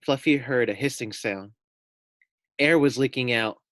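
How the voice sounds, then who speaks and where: Male teacher, children's read-aloud: mid-pitched, slow, with casual questioning asides. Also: a bit bright, dry recording, studio quality. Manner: explains and asks the young listeners questions. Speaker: teacher reading aloud to first graders.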